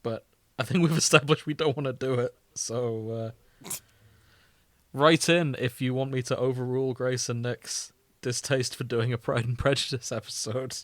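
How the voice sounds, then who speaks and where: A British man hosts a relaxed podcast chat in a mid-pitched voice. He talks in a chatty, self-deprecating way, and the recording is dry with no room sound. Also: moderate pace, no echo. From Britain. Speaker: podcast host.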